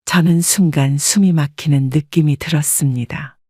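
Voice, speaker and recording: Older woman narrator, calm measured first-person storytelling, dry close sound. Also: sits low, no echo.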